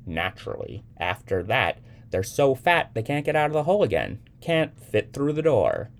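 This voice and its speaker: Man acting a whimsical young man, lively and swooping. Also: mid-range voice, moderate pace, voice pushed up. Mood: mock-serious. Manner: whimsical, mock-serious storytelling. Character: playful, eccentric young man teasing a child, edgy with adults.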